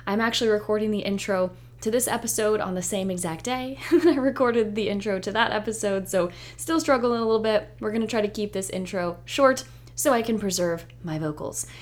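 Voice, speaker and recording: Hoarse, chatty woman podcast host. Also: medium pitch, fairly quick. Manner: chatty, upbeat and reassuring. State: hoarse, nearly losing her voice. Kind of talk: solo podcast intro monologue.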